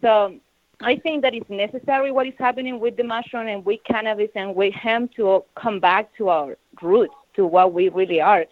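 A woman's voice: mid-range voice, normal speed, no room sound. Woman on tinny radio. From Chile. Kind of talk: casual radio talk show chat. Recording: tinny phone-like radio audio, dry.

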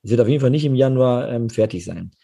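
A man with a hoarse voice talks easily in a casual podcast chat, agreeing often with his partner. His tone is dark and mellow, with a husky edge. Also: medium pitch, quick pace. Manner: relaxed, agreeable, often affirming. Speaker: podcast co-host.

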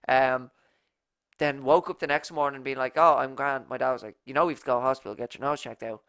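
A man's voice: medium pitch, fairly even intonation, brisk, vocal fry, a bit bright, smooth, dry recording. A young Irish man in his twenties with a nasal voice, telling a rambling story in a casual podcast chat. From Ireland. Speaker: podcast co-host.

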